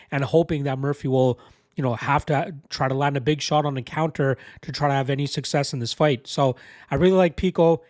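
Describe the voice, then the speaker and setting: Brisk man with a stuffy cold. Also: mid-range voice, a little mellow, dry recording, studio quality. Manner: brisk, confident, bettor's slang. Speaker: MMA betting analyst. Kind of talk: casual betting picks breakdown.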